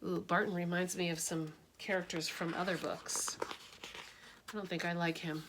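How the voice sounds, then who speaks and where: A woman reading a picture book aloud with expressive delivery and her own asides. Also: on the low side, moderate pace, background noise. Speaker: storybook reader.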